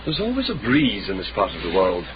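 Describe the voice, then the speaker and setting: An actor playing a genteel, wordy lawyer with formal, bookish diction in a period radio drama. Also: male voice, lowish voice, wildly swooping pitch, fairly quick. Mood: genteel, guarded. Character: genteel, wordy Edinburgh lawyer, learned gentleman. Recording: dull radio drama, clear background noise.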